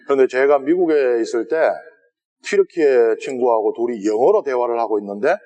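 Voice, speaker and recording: A man with a Gyeongsang Korean accent lectures on scripture as a priest in a church sanctuary. His pitch sits mid-range for a man. He talks in a chatty, conversational way and keeps turning questions to the listeners. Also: a little fast, mellow, highs cut off. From South Korea. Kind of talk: lenten Bible lecture to a congregation.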